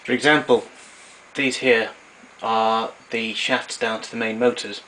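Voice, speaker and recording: A man's voice: on the low side, flat delivery, a little slow, bright, forceful tone, rough recording. A man gives an informal technical demonstration in a matter-of-fact, explanatory way. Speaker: vintage tape recorder collector presenting. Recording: clear background noise, room ambience.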